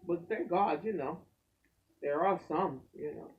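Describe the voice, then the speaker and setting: Man, pastor, animated, preacherly, distant mic. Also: a little high, unhurried, narrow, tinny audio. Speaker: pastor and poet. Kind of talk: casual faith conversation.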